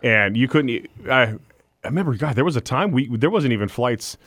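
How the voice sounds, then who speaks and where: A man's voice: mid-range voice, animated, brisk, fairly bright tone, breathy edge, no room sound, clean studio sound. A man, a guest on a radio show, talking earnestly and at length. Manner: earnest, talkative, with fillers. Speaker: guest, political candidate. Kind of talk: radio interview. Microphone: studio microphone.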